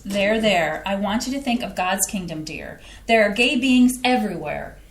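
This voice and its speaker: A woman's voice: mid-range voice, lively intonation, normal speed, a little hiss or hum, natural voice. A woman in a staged play reading playing a churchgoing middle-aged mother with a soothing, folksy way of talking. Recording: roomy playhouse stage sound.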